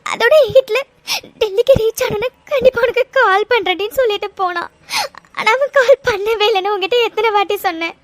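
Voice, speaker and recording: A woman's voice: extremely high pitch, brisk, dry recording. A woman playing a distraught, lovesick young woman, crying and pleading in a melodramatic audio serial. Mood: tearful, fearful. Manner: tearful, pleading. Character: distraught young woman pining for her missing sweetheart.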